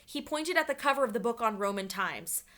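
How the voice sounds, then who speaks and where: A woman reading a children's story aloud like a teacher, with dramatic, expressive storytelling. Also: on the high side, brisk.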